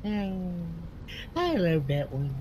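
An excitable, bumbling Southern sheriff in a comic game roleplay. He whoops and sputters in a high voice that leaps up and down wildly. Sirens and engine noise run clearly behind him. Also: very slow, full character voice. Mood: exasperated. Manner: whooping, sputtering, repeating himself. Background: police sirens, game vehicle noise.

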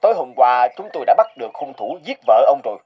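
A man voicing a stern, shrewd police investigator in an animated moral tale, formal and authoritative. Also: mid-range voice, quick pace, slightly rough, lo-fi sound. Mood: firm, probing.

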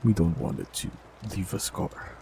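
Man, very low voice, gravelly, calm and gentle, fantasy audio roleplay, faint hiss. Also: moderate pace. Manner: calm, gentle, softly teasing. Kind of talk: scripted fantasy roleplay, warlock character.

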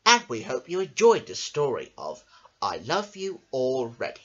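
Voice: medium pitch, huge pitch swings, normal speed, a bit bright, lo-fi sound. A storyteller reading a children's picture book aloud in a home recording.

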